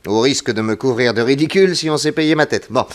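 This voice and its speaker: An actor playing a self-assured, polite and inquisitive private detective in a 1960s French radio drama. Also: male voice, medium pitch, fast talker, lightly acted. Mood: amused, brisk.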